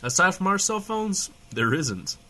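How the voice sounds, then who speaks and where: An actor playing a sarcastic, hedging, foul-mouthed small-town radio host in a comedic audio drama, trading banter. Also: male voice, high voice, big pitch swings, unhurried, very noisy. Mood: flustered. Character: irreverent, flustered small-town radio host, adult man.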